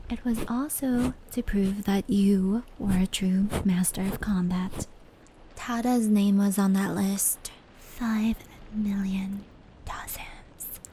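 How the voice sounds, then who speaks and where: Gentle female demon storyteller acted by a woman, ASMR roleplay: hushed, whispery, unhurried delivery over rain and brushing noise. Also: medium pitch. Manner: slow, hushed, often slipping into whispers. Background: indoor rain patter and brushing sounds.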